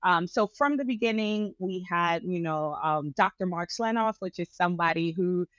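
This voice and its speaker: A woman's voice: lowish voice, moderate pace, smooth, no echo. A woman from Brooklyn, a dentist in her thirties, talking energetically in a casual interview with lots of fillers. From Brooklyn, New York. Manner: energetic and chatty, with frequent fillers. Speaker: dentist guest.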